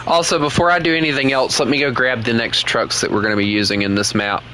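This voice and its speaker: A man's voice: mid-range voice, quick pace, slight background noise. A man with a Southern US accent, casually commenting to viewers while playing a truck game. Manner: relaxed, chatty, talks to viewers as he plays. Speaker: game streamer.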